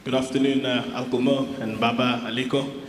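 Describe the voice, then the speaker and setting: A strongly echoing hall with faint noise carries the voice of a South African man. He speaks at a middle pitch, asking a formal question from the floor. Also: level tone, fairly quick. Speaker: audience questioner, entrepreneur. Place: large hall audience.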